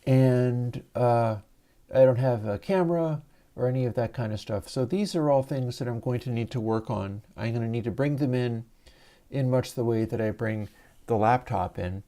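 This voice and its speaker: Male livestream host, soothing and mellow, easygoing explainer on a lav mic. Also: medium pitch, normal speed. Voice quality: soft, soothing voice. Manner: relaxed, rambling, explains gear step by step. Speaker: livestream host and audio developer. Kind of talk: casual livestream demo. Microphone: lavalier mic.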